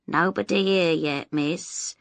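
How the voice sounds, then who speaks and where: A woman voicing an old cleaning woman who speaks in a flat, practical way. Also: on the low side, takes it slowly, creaky, no room sound. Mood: blunt. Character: old cleaning woman, blunt and matter-of-fact.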